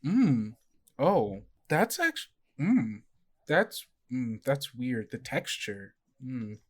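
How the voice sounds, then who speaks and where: A man's voice: mid-range voice, very animated, very slow, husky, exaggerated voice. A man voicing a curious, hesitant horned female character in a tabletop game, tentative, questioning and naive. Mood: unsure.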